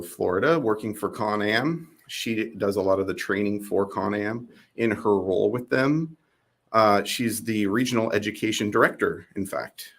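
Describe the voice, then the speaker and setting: Male host with a lowish voice, enthusiastic and informal on a video call.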